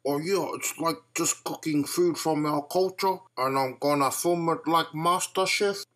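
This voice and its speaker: A man playing an eager young nephew who films his uncle for a homework video, calling the shots in an excited, bossy way. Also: on the high side, measured pace.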